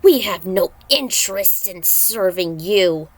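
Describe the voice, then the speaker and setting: A woman's voice: medium pitch, lively intonation, slow pace, no room sound, poor audio. A woman voicing a proud, bitter male demon in a story, scornful and declamatory. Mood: defiant, scornful.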